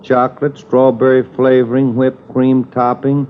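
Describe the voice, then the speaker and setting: An actor playing an easygoing police detective who asks questions in a plain, conversational way, in 1950s radio drama style. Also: male voice, mid-range voice, monotone, unhurried, lightly acted. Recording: dull old radio broadcast with hiss.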